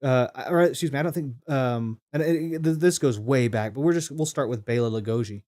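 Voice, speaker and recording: Mellow-voiced man rambling on stream. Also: mid-range voice, fairly quick. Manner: rambling with many restarts; reads chat comments aloud. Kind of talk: casual stream commentary.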